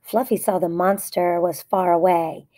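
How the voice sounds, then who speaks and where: A woman's voice: fairly low pitch, steady tone, measured pace, mellow. A woman narrating a children's story aloud in short, plainly phrased sentences. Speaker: storybook reader.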